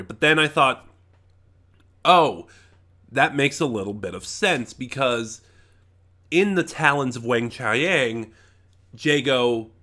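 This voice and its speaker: A man giving a casual, unscripted review, speaking emphatically in a halting way, often trailing off and regrouping mid-thought. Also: medium pitch, sharp tone. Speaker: online reviewer.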